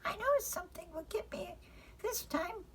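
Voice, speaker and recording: Woman voicing a high, timid little storybook girl. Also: moderate pace, background noise. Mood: worried. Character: timid young girl who cannot sleep, fretful and questioning.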